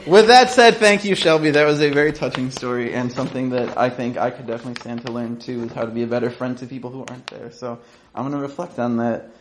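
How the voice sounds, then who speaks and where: A man's voice: medium pitch, quick pace, slight background noise, rough recording. A man chatting casually and enthusiastically on stage as the host of a live show. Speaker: host introducing live storytellers and comics. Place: live venue in front of an audience. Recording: live show with clearly audible crowd. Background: audience.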